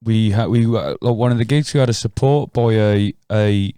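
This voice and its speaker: A man's voice: fairly low pitch, moderate pace, mellow, clean tone, dry recording, clean studio sound. A man with a British accent telling a story in a casual podcast chat, hesitating often. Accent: British English. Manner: hesitant, full of fillers and restarts. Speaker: podcast co-host.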